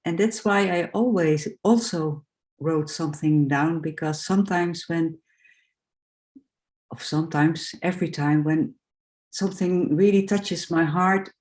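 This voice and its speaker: Dutch lady speaking english slow and careful, lots of ums, soft mellow voice. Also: deep voice. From Netherlands. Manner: hesitant, searching for words, many fillers. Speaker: guest speaker. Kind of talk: personal sharing in a talk.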